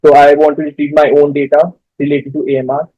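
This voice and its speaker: A man's voice: fairly high pitch, monotone, fast talker, mellow, no room sound, muffled recording. A young man introducing himself to a workshop group, hesitant and full of fillers. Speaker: workshop participant. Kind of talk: self-introduction.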